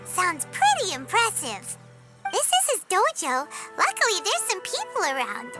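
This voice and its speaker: A tiny, chirpy game companion speaks in a squeaky, childlike voice pitched extremely high. The delivery is animated and expressive, bouncing up and down, with loud music underneath. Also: female voice, measured pace, heavily acted. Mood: earnest, chatty. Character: tiny childlike floating companion, chirpy, talks in third person. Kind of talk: video game voice acting.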